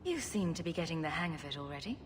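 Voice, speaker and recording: An actress playing a confident, sardonic adventurer heroine in a video game. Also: female voice, sits low, sing-song, fast talker. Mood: reassuring. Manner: wry, confident. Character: self-assured, wry adventurer woman, helpful but sardonic. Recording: noisy game capture with ambience.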